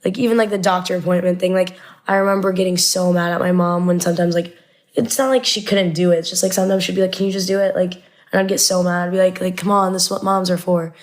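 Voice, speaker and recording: A woman's voice: fairly low pitch, steady tone, fast. A young American woman, a native Arabic speaker, recalling things casually as a podcast guest, with "like" peppered through her sentences. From Indianapolis, United States. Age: young adult. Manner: frequent "like" as filler. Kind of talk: casual personal recollection.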